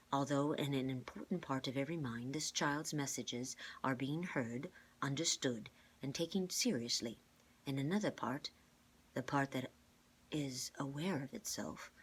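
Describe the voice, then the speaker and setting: A woman voicing a calm, clever older man in a storybook, measured and formal as he explains. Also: very deep voice, husky.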